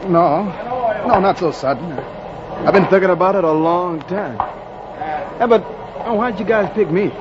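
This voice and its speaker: An actor playing a thoughtful, guarded young baseball player in a 1940s radio drama. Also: male voice, high voice, measured pace, pauses often. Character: young, thoughtful, wary ballplayer. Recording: muffled noisy radio, loud crowd.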